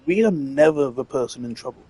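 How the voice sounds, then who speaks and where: A man's voice: medium pitch, animated, brisk, mellow, slightly rough, low-quality recording. A man giving casual, rambling game commentary, musing hesitantly and trailing off. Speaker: game streamer. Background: game music.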